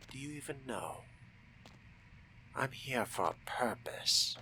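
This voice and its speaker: Man playing a low, whispery, haughty vampire. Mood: quiet musing. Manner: condescending, deliberate, theatrical, often dropping to a whisper. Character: haughty fledgling male vampire exploring a haunted hotel. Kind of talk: in-character roleplay monologue over a video game. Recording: music under the voice.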